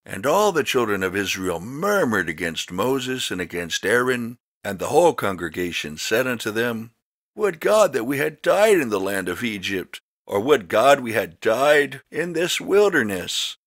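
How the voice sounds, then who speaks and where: A man reading scripture as a narrator in a solemn, dramatic style, with measured, emphatic, declamatory delivery. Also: medium pitch, big pitch swings, a bit bright, no echo, clean studio sound. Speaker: Bible narrator.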